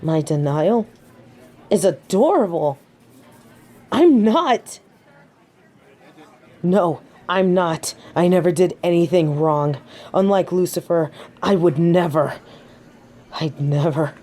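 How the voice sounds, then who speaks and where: Low, slow, haughty angel woman acted out. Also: lively intonation, dark tone. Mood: petulant denial. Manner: haughty, dramatic, defensive. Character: haughty tsundere fallen angel of judgement, proud, wounded, flustered. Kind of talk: scripted audio roleplay acting.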